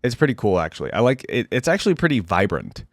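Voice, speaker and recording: A man with a lively, swinging delivery talks through his reactions in a casual video-creator style. He thinks aloud informally and nitpicks as he goes. The sound is close and dry, with very little room in it. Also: lowish voice, quick pace, no room sound.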